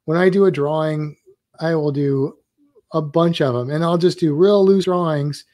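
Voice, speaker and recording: Guy drawing live, fairly high but mellow voice, dry close mic, kinda rambly. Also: moderate pace, no room sound. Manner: loose, rambling, thinks aloud while sketching. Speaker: drawing instructor on a live stream. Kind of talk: casual live-stream drawing tutorial.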